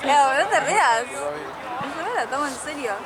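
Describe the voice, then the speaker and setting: High-voiced joking Argentine woman courtside. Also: animated, a little slow, gravelly. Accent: Argentine Spanish. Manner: playful, jokes about learning Italian. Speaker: spectator at an amateur match. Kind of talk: casual sideline chat. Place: outdoor amateur football pitch, sideline. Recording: loud crowd and noise outdoors.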